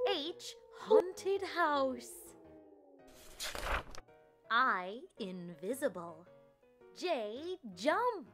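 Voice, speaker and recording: A young woman hosting a children's read-aloud, speaking in an animated, carefully enunciated way to little viewers. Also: sits high. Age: young adult. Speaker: children's read-aloud host and early educator. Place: indoors, in front of a plain blue backdrop.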